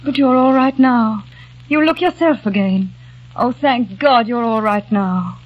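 An actress playing an anxious, caring young fiancée in a 1940s radio drama, speaking in a pleading, breathy way. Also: female voice, on the high side, measured pace. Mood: anxious relief. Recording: dull lo-fi old radio recording.

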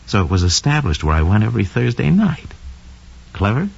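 An actor playing a smug, scheming young murderer who confesses coldly and boastfully in an old radio drama monologue. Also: male voice, fairly low pitch, big pitch swings, a little fast, gravelly, a little mellow, breathy edge, exaggerated voice. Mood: smug, calculating. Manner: sly, cold, boastful, slangy. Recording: dull lo-fi old radio recording.

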